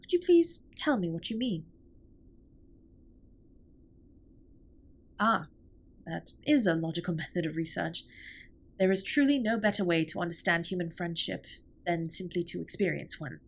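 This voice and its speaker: A woman playing a formal, earnest, caring alien spaceship captain in a scripted comfort roleplay, speaking softly and breathily with measured, precise wording. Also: fairly low pitch, lively intonation, breathy edge. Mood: curious, questioning. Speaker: audio roleplay performer. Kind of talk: scripted comfort roleplay, intimate one-sided dialogue.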